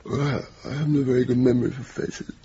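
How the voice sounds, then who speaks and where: An actor playing a wry, laconic, bookish young RAF officer in a radio play, speaking in a dry, understated, deliberate way. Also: male voice, medium pitch, level tone, warm tone, slightly rough. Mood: drowsy, dry. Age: young adult. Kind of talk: naturalistic 1980s radio drama acting. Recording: muffled, hissy old radio broadcast tape.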